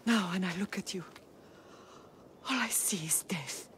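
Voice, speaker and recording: A woman's voice: mid-range voice, measured pace, noisy background, natural voice. Woman doing anguished mom in a video game, imploring, drawn out words, faint ambience behind her. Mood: cold grief. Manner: emotional, intense, imploring. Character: anguished, grieving mother of estranged warrior children. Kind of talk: dramatic video game acting.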